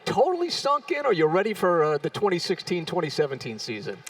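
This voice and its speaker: A man's voice: high-pitched, huge pitch swings, fast, no room sound, recorded from a distance. A man hosting live ceremony coverage, enthusiastic and exclamatory as he talks with guests. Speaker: event host.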